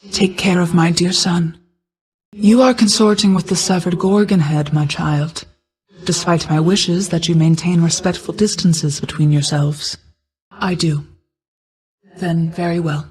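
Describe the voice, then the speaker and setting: A woman voicing an ancient goddess of night in a video game, a solemn, caring mother who speaks in a formal, measured, reserved way. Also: fairly low pitch, darkish tone, natural voice, poor audio. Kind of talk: video game character dialogue.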